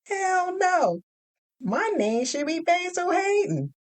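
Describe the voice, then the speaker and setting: A woman's voice: very high-pitched, big pitch swings, slow pace, pitched above the performer's own voice, exaggerated voice. A woman voicing a breezy, irreverent, gossipy receptionist who jokes in candid slang for an audio drama. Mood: joking. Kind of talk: audio fiction character dialogue.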